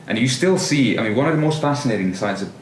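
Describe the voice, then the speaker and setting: A young adult man from Finland, chatting casually as a podcast guest, relaxed and thoughtful with many fillers and restarts. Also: mid-range voice, quick pace. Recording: roomy, distant mic, faint noise.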